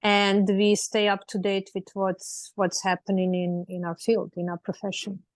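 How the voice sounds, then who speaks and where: A woman's voice: medium pitch, flat delivery, normal speed, no echo. A woman in her forties from Serbia with a Serbian accent, speaking as an attorney on a panel over a video call, friendly and full of fillers and restarts.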